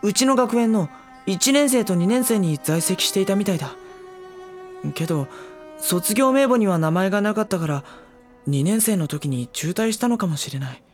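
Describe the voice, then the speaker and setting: A woman's voice: medium pitch, expressive, moderate pace, a little mellow, faint music behind, lightly acted. A woman voicing a mild, timid teenage schoolboy who speaks hesitantly. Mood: thoughtful. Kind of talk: anime drama CD acting.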